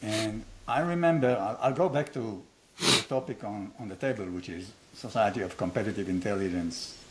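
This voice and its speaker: A man's voice: on the low side, normal speed, heavy background noise. An older man from Israel with an Israeli accent, hosting an unscripted conversation in a blunt, provocative way with self-deprecating humor. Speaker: host, founder of a training academy.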